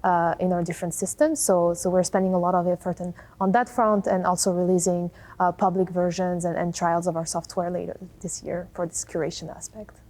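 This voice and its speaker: A woman's voice: lowish voice, level tone, fairly quick, a little mellow, low-quality recording. A woman speaking as an interview guest, a tech founder and scientist giving explanatory answers.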